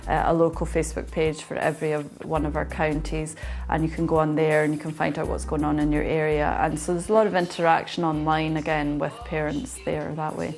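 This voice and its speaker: A Scottish woman, a website co-founder, explaining calmly in an interview. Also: deep voice, fairly quick, gravelly, soft background music. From Scotland. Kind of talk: interview answers.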